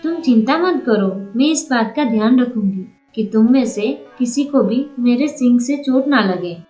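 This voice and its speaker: A woman's voice: fairly high pitch, animated, normal speed, darkish tone, faint music behind, natural voice. A woman voicing a timid, friendly little girl in a Hindi moral story cartoon, childlike, in a dull, echoey recording.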